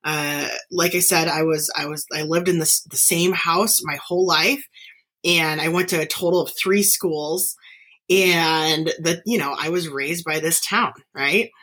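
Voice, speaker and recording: A woman's voice: low-pitched, measured pace, a bit bright, husky. Chatty giggly woman podcast host. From northern Nevada, US. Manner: chatty, giggly, frequent fillers and self-corrections. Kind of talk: casual solo podcast chat.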